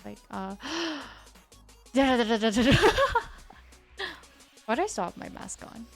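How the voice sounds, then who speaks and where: A woman's voice: on the high side, animated, takes it slowly, faint music behind. A young woman in her twenties, chatting casually on a live stream in a laid-back way, reading chat aloud.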